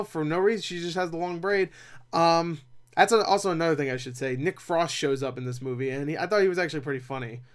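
A young man reviews movies in a voice pitched a little above average. He talks casually and without a script, rambling along with animated asides and a self-deprecating streak. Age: young adult. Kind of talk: casual unscripted review chat. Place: small cluttered room at home, indoors.

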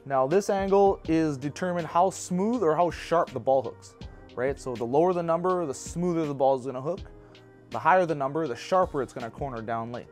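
A man's voice: a little high, a little fast, a little mellow, soft background music. A man in his thirties in a bowling pro shop, casually walking through a how-to with practical personal asides.

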